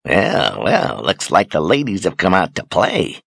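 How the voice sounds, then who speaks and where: A man's voice: sits low, wildly swooping pitch, unhurried, creaky, fairly bright tone, husky, no echo, clean studio sound, exaggerated voice. A man voicing a crude, leering sailor with a mocking, sneering tone.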